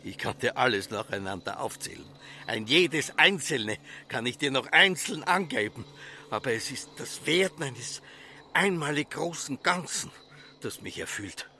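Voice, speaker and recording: A man's voice: medium pitch, lively intonation, measured pace, sharp tone, slight background noise, exaggerated voice. An actor playing a stubborn Austrian farmer obsessed with his machine, solemnly declaiming in a literary radio drama. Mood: fervent, visionary. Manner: declamatory, solemn, incantatory listing.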